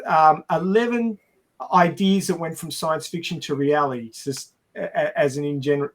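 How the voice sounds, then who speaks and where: Podcast panelist, male, slightly high voice, explains in a halting, wry way, casual discussion style. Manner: halting, explanatory, wryly jokey. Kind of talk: casual film and science discussion.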